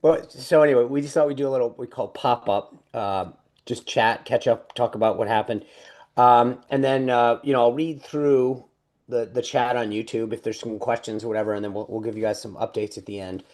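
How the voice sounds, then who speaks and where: A middle-aged man co-hosting a casual political chat, talking loosely with many restarts and quick laughs. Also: medium pitch, fairly even intonation, a little mellow. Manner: chatty, full of restarts and laughs. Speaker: podcast co-host.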